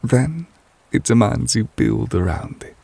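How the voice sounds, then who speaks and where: A man playing a devoted, tender but possessive lover in a romantic ASMR roleplay, speaking softly and intimately in a measured, wistful way, close to the microphone. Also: mid-range voice, wildly swooping pitch, dry recording, exaggerated voice. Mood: thoughtful, loving. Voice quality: soft, breathy, husky. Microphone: close, dry microphone.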